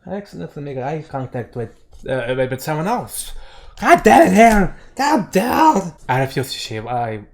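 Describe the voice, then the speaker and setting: A man reading a visual novel aloud as a let's play host, mixing narration with casual, excitable commentary. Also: fairly high pitch, moderate pace, creaky. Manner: excitable outbursts between narrated lines. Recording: game music behind the voice. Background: game background music.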